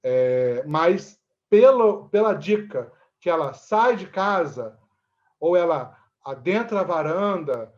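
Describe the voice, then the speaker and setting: A man with a Brazilian Portuguese accent explaining things conversationally as an interview guest, haltingly, often checking in with short tag questions. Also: on the high side, lively intonation, darkish tone, lo-fi sound. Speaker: actor and theatre teacher, interview guest.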